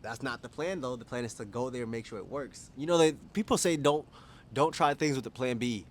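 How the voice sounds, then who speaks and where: A man's voice: medium pitch, brisk, a little hiss or hum. A man in his twenties, from Kenya, talking casually and candidly to camera outdoors, musing and laughing at himself. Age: 20s. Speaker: vlogger. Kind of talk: casual reflective monologue. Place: outdoors at dusk.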